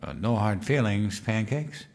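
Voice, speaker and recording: An actor playing a childlike, eager, guileless president-elect in a comedic audio drama. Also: male voice, on the low side, a little slow, gravelly, a little mellow. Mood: earnest, cheerful. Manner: guileless, simple-minded, earnest talk.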